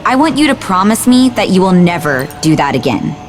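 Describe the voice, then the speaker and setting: A woman's voice: on the low side, sing-song, brisk, faint music behind. An actress playing a clever, earnest woman scientist in a video game, dry and a little impatient. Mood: exasperated.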